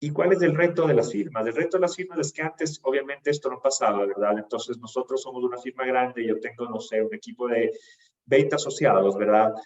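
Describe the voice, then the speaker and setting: A man of middle pitch speaks for his law firm on a panel, measured and steady. He keeps checking in with short tag questions. His voice rings in an echoey room and sounds slightly muffled. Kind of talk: panel discussion.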